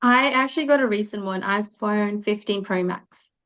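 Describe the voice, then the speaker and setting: A young woman, speaking as a guest on a video call. She answers questions in a poised, earnest and self-assured way, at a middling pitch. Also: normal speed, a bit bright. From Fiji. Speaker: pageant contestant guest. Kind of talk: interview answers.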